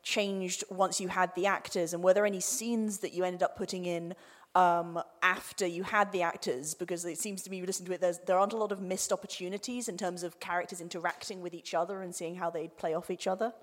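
A woman's voice: lowish voice, little pitch movement, brisk. A woman in the audience hesitantly asking a question at a live Q&A, recorded in an echoey hall.

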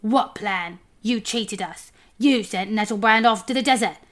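A woman's voice: mid-range voice, unhurried, a bit bright, natural voice. A woman voicing a surly, suspicious male mountain dwarf who is easily outraged, in a children's fantasy storybook reading. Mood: accusing. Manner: spitting, growling, shrieking when alarmed.

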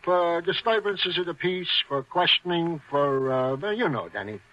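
A man's voice: on the high side, expressive, moderate pace, bright, forceful tone. A man acting an eager, deferential police sergeant in 1940s radio style, wordy, officious and earnest. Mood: dutiful, officious. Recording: muffled vintage radio recording.

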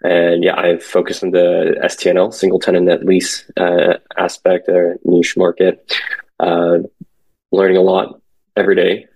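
A young man in his twenties, born in Korea and raised in California, speaking as a podcast guest in a relaxed, hesitant way with many fillers. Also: sits low, a little slow, vocal fry, breathy edge, rough recording. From born in Korea, raised in San Francisco Bay Area. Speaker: guest, commercial real estate associate. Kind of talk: casual podcast conversation.

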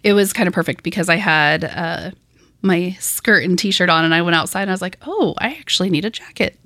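A woman co-hosting a podcast in casual chat, lively and quick to laugh, a little off from allergies. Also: on the low side, no echo, clean studio sound. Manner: chatty, laughs often, lively storytelling. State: dry throat from allergies. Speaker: podcast co-host. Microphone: podcast mic in a small room.

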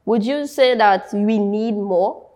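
A woman's voice: medium pitch, slow, a little mellow. A woman with a Trinidadian accent, interviewing a guest in a casual, conversational way as a podcast host.

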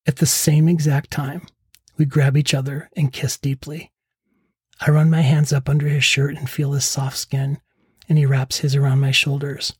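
A middle-aged man with a husky voice narrating a scripted first-person memoir as a podcast host. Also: a little high, flat delivery, normal speed, no room sound. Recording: close dry voice over faint music.

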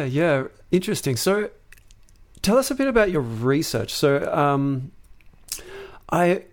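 Male interviewer, slightly low pitch, hesitant and conversational. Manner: hesitant, halting questions with frequent "um". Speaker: podcast host. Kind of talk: podcast interview.

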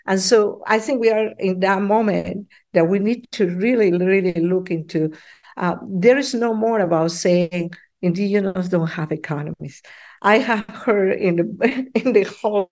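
A mature woman with a Peruvian Spanish accent, speaking as a professor in an interview in earnest, halting phrases. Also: medium pitch, darkish tone. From Peru. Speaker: professor, podcast guest. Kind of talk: interview answer.

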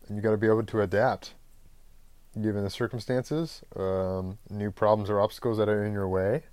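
A man hosting his own podcast, talking off the cuff in a loose, rambling way, often pausing on "um" and clearing his throat. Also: lowish voice, a little mellow, dry recording. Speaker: podcast host. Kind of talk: unscripted solo podcast monologue.

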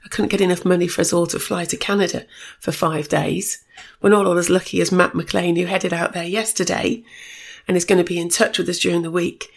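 A woman hosting a solo podcast with a slightly croaky voice, giving a chatty, informative update and correcting herself as she goes. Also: fairly low pitch, fairly even intonation, fairly quick. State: a bit croaky voice. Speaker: podcast host.

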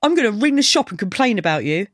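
A woman's voice: mid-range voice, sing-song, quick pace, no echo, studio quality, pitched above the performer's own voice. A woman voicing a grumpy, suspicious, indignant reclusive man in audiobook dialogue. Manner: indignant, wary.

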